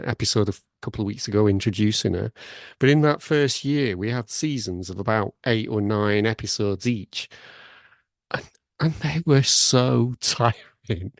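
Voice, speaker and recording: A man hosts a podcast conversation. He talks casually and haltingly, with a self-deprecating touch, and the sound is close and dry. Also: on the low side, no room sound. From Blackburn, northern England. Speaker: podcast host, academic consultant.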